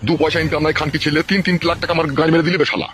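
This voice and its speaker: A man playing an angry, foul-mouthed father in a comic cartoon, with a rural West Bengal accent and a gruff, scolding manner. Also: a little high, level tone, very fast, a bit bright, close to an everyday voice.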